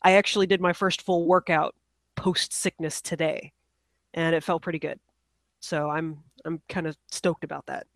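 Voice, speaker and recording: A woman's voice: deep voice, flat delivery, moderate pace. A woman chats casually as a podcast co-host, her nose stuffed up from a cold. She sounds apologetic and self-deprecating and laughs at herself often. The sound is dry and close, with no room echo. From United States. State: congested from a cold. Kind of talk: casual chat.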